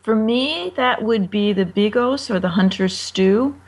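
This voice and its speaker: An American woman, a cookbook author, answering interview questions in a friendly, halting way with frequent ums. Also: medium pitch, far from the mic. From United States.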